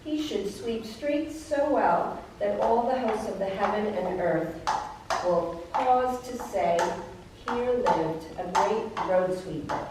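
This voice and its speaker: Brief: woman as a solemn road-sweeper spirit, declaiming, distant with clear background noise. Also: mid-range voice, animated, slow. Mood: earnest. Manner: earnest, declamatory. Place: live arts venue, indoors. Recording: echoey room from far off, noisy.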